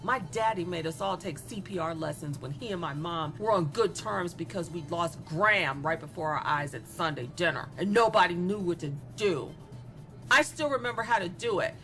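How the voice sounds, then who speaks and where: A woman's voice: lowish voice, expressive, normal speed, a bit bright, soft background music. A woman playing a cruel, self-absorbed young female killer in a darkly comic audio drama, speaking in a mocking, sardonic, conversational way. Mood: panicked, angry. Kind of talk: dramatic monologue in an audio horror comedy.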